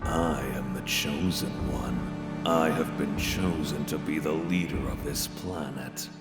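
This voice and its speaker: A man's voice: very deep voice, a little slow. A man playing a cold, grandiose villain in a fan dub, speaking in a menacing, pompous way. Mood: scornful. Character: cold, grandiose male villain, self-proclaimed Chosen One. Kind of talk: dramatic fan dub acting. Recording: echoing voice under loud music.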